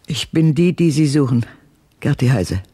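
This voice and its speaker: An older actress playing a gruff, guarded old woman, a retired doctor, brusque and wary, in a radio drama. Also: extremely low pitch, lively intonation, moderate pace, warm tone, full character voice. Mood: wary, resigned.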